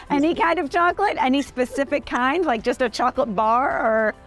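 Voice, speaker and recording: Woman host with a bright, high voice. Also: animated, normal speed, soft background music. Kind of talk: casual interview chat.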